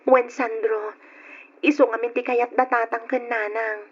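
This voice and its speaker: Radio drama young Ilocano daughter and girlfriend: high-pitched, earnest, reassuring, on a narrow, boxy recording. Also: level tone, a little slow, husky. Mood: earnest, persuading. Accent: Filipino, Ilocano. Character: young woman, dutiful daughter, soothing her boyfriend. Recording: narrow-band old radio drama sound.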